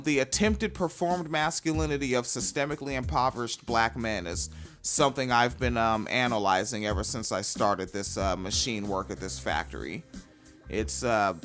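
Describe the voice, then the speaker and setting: A young man hosting a podcast, chatting casually with a profane, squealy, animated way of talking. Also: mid-range voice. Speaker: podcast host. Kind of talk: casual chat.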